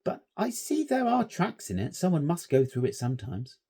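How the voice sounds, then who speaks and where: A man's voice: a little high, wildly swooping pitch, normal speed, mellow, husky, voice pushed up, full character voice. A man voicing a cautious, resourceful schoolboy scout who speaks primly and carefully.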